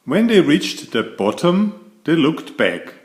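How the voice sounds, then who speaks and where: A man reading a children's picture book aloud in a deliberate, expressive storytelling way. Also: a little high.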